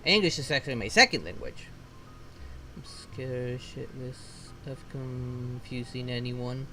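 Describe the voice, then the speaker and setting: Male streamer, relaxed game commentary, slow, lively pitch. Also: music in the background, rough recording. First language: Spanish. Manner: relaxed, slow, chuckling asides. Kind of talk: casual gameplay commentary.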